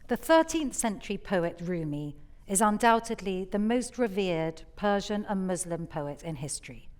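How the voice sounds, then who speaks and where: A woman's voice: mid-range voice, lively intonation, normal speed, darkish tone. A woman host giving articulate, explanatory scripted introductions to poems on a theatre stage.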